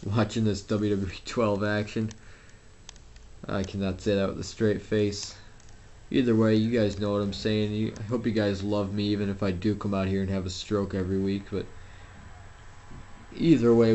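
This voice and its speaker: A man's voice: fairly low pitch, little pitch movement, normal speed, warm tone, muffled recording, a little hiss or hum. A young man commentating a wrestling video game at home, rambling and self-deprecating, with lots of fillers and restarts. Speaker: gameplay commentator. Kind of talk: casual solo game commentary.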